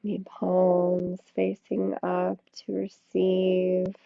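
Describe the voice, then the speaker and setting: Slow-spoken woman yoga teacher, thin phone-like sound. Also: fairly low pitch, completely flat delivery, creaky, dry recording. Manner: soothing, unhurried, with long pauses between short phrases. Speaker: yoga teacher leading a class. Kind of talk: slow guided yoga and meditation instruction. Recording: thin, phone-like band-limited sound, dry.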